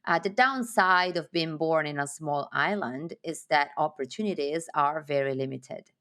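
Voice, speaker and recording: A woman's voice: sits low, normal speed, a bit bright. A woman from Sardinia with an Italian accent, telling her story as an enthusiastic podcast guest. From Sardinia, Italy. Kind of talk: interview conversation.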